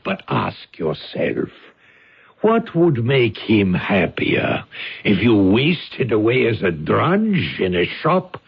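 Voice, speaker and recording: An actor playing a grandiose, smooth-talking elderly bachelor count in old-time radio drama, coaxing in a florid, paternal way. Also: male voice, lowish voice, huge pitch swings, takes it slowly, heavily acted. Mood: persuasive. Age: older. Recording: dull lo-fi radio recording.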